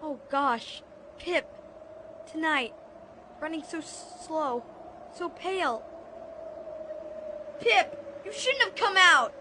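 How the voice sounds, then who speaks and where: A woman's voice: high voice, animated, takes it slowly, long pauses, sharp tone, a little hiss or hum, full character voice. A woman playing an anxious, earnest young boy in an audio drama, with breathless, urgent delivery. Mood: frightened, grieving. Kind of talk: full-cast audio drama acting. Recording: music behind the voice.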